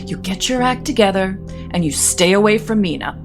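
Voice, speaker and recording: A woman's voice: medium pitch, animated, a little fast, over music, natural voice. A middle-aged woman playing an imperious, disapproving wealthy mother in an audio soap opera, with a formal, scolding manner. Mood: reproachful. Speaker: actor.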